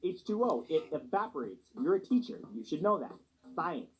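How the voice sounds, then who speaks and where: A man's voice: a little high, very animated, brisk, darkish tone. A man narrating a scripted countdown video, mixing read-out facts with slangy asides, heard as played-back video audio.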